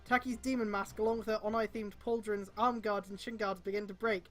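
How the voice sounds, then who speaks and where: A woman's voice: mid-range voice, level tone, fairly quick, fairly bright tone. A woman reading fan fiction aloud on a stream, breaking off with exasperated mocking comments. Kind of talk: live dramatic read-aloud with mocking commentary.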